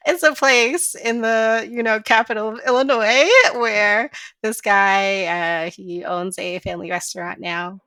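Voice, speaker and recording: A young adult woman with a slight Chicago accent, hosting a casual podcast chat and telling stories with lively voiced asides. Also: mid-range voice, a little slow, dry recording. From Chicago area. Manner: chatty, animated storytelling with voiced asides. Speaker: podcast host. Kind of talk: casual conversation.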